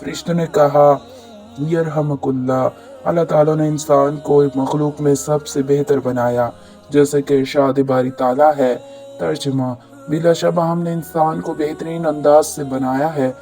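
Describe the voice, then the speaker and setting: A hoarse man tells a religious story in a solemn, measured recital. His voice is dark and mellow, sits a little high, and barely moves in pitch. Faint music runs underneath. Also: flat delivery.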